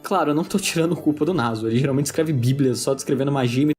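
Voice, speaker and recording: A man's voice: mid-range voice, rapid-fire, darkish tone, breathy edge, soft background music. A man with a Brazilian accent narrating a scripted video essay with informal asides.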